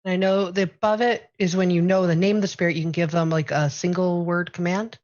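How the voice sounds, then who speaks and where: A woman's voice: fairly low pitch, fairly even intonation, brisk, darkish tone, highs cut off. A woman playing a tabletop game, plainly explaining her character's abilities and rules. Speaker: player.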